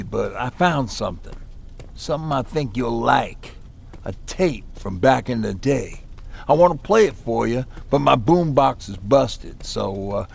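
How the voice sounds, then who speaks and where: Gravel-voiced male survivor, friendly and eager to persuade, animated delivery, sci-fi game. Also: medium pitch, exaggerated voice. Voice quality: gravelly, husky. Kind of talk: video game voice acting.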